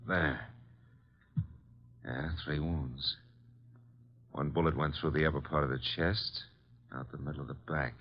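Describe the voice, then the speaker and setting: An actor playing a brisk, wisecracking American detective's assistant in an old-time radio drama. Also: male voice, rumbling low, steady tone. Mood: matter-of-fact. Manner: breezy, hard-boiled, questioning. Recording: dull, lo-fi old radio recording.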